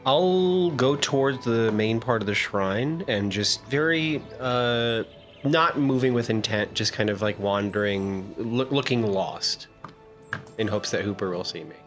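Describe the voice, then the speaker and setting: Male player: low voice, casual and halting, thinking aloud, clear music bed. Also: a bit bright. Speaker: tabletop game player. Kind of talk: casual improvised play.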